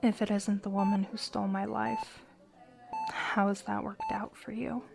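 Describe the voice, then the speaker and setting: A woman acting a bitter, taunting rival in an emotional audio drama, with a sneering, measured delivery. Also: mid-range voice, level tone, creaky, music in the background, natural voice. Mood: bitter, mocking.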